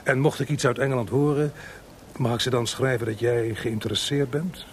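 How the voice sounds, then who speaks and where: A man acting in a radio play as a tactful gentleman acquaintance, polite and conversational, gently probing. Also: mid-range voice, steady tone, moderate pace, close to an everyday voice. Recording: noisy radio play recording.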